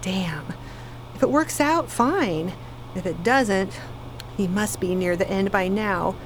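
A woman's voice: mid-range voice, takes it slowly, a little hiss or hum, natural voice. A woman playing a tired, earnest, exasperated wife in a radio play, passing on what her child says. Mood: weary.

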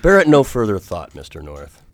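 A man playing a formal, courteous Victorian gentleman who narrates his own adventures in a radio drama. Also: fairly low pitch, huge pitch swings, no room sound. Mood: composed. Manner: formal, courteous, measured old-fashioned English. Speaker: narrator and lead character.

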